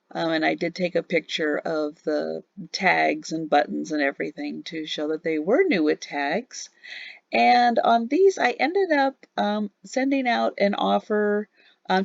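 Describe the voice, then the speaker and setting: A woman reselling online, narrating a relaxed screen-recorded recap of what sold, halting with many ums and a tiring voice. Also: medium pitch, gravelly, dry recording, dull audio. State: losing her voice. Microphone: voice-over on a screen recording.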